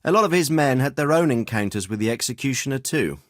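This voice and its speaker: Man playing a dignified medieval lord, lively intonation. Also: medium pitch, dry recording, studio quality, close to an everyday voice. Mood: wry, informative. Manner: formal, deliberate, lordly phrasing. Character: dignified, measured medieval nobleman, fatherly royal hetman. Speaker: voice actor playing a game character. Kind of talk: scripted video game dialogue.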